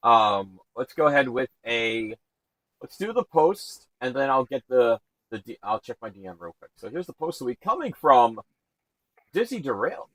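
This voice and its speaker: A man with a booming voice hosting a live stream, energetic and shouting out viewers. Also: normal speed, dry recording, low-quality recording. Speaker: stream host.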